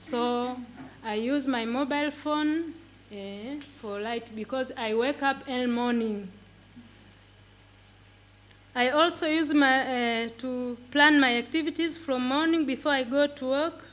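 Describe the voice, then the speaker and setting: A woman with a Tanzanian accent, a native Swahili speaker, giving a prepared talk in halting English and repeating words as she searches for them. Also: high-pitched. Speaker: pre-primary teacher and conference speaker.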